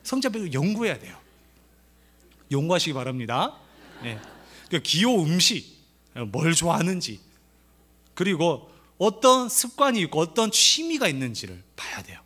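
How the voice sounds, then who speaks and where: A middle-aged pastor from the Gyeongsang region, with a faint Gyeongsang accent, gives a church family seminar. His voice sits high and bright, and his pitch swings widely. He talks in a playful way and acts out the lines he quotes. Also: huge pitch swings, moderate pace, pauses often. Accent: light Gyeongsang. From Gyeongsang region of Korea.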